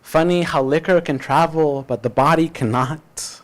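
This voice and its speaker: A man reciting spoken-word poetry as a poet, with deliberate, emphatic phrasing and dramatic pauses. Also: a little high.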